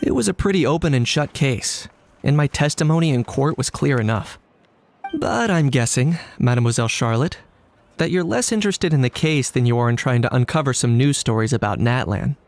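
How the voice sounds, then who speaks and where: An actor playing a pragmatic, unbothered young man in a video game, speaking in a measured, matter-of-fact deadpan. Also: mid-range voice, creaky. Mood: politely reluctant. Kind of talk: English video game dub acting.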